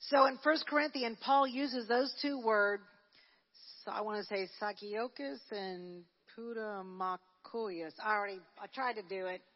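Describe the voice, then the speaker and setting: A woman's voice: mid-range voice, measured pace, highs cut off. Woman preaching in an echoing church hall. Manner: conversational, with frequent restarts, rising to fervent preaching. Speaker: church minister leading a prayer service. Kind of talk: Pentecostal sermon. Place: church sanctuary.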